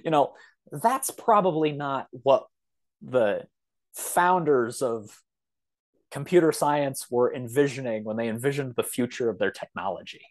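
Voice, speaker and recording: Thoughtful man talking haltingly as podcast guest. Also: mid-range voice, lively intonation. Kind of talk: thoughtful conversational interview.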